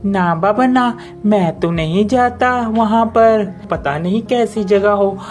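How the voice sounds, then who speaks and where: A woman's voice: medium pitch, takes it slowly, a little mellow, faint music behind, pitched above the performer's own voice, lightly acted. A woman voicing a timid, lonely male rabbit in a cartoon story, speaking in an anxious, plaintive manner. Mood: fearful. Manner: anxious, plaintive, repeating refusals.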